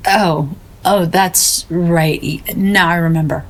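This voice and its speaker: A woman's voice: on the low side, fairly even intonation, slow. An actress playing a doubting, muddled woman painter in an audio drama, resigned and vague in manner. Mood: confused.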